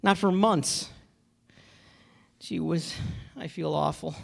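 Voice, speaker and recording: An actor playing an earnest, self-doubting young husband in a radio play, with a halting, folksy stammer. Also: male voice, a little high, vocal fry, exaggerated voice. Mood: dejected. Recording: echoey hall, stage reverb.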